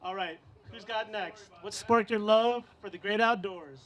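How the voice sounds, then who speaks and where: A man's voice: high voice, unhurried, a bit bright. A man hosting a live podcast panel at a cafe event, upbeat and hyping up his guests. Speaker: podcast host.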